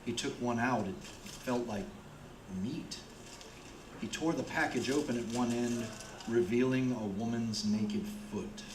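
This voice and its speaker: A man's voice: medium pitch, unhurried, background noise, lightly acted. A man acting as the plain, grim storyteller of a radio play, reading scripted narration. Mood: grim, measured. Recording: roomy, with music behind.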